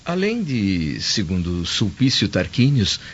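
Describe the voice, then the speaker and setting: An actor with a Brazilian accent playing a stern, protective Roman senator in a radio drama, speaking with formal, measured diction. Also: male voice, fairly low pitch, sing-song, slight background noise. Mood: courteous, formal. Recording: dull lo-fi radio drama with music.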